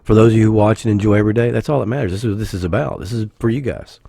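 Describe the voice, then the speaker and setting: A man, husky from the flu, rambling casually as a gaming channel host with self-corrections and joking asides. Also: lowish voice, racing, warm tone, no room sound, clean studio sound. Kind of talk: casual anniversary vlog talk.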